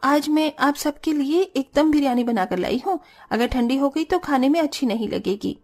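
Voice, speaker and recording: A young daughter-in-law in a cartoon family story, acted by a woman. Her voice is very high, breezy and self-justifying, with a stubborn streak. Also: normal speed, darkish tone, faint music behind, close to an everyday voice. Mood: cheerful. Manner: airy, excusing. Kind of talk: cartoon family drama acting.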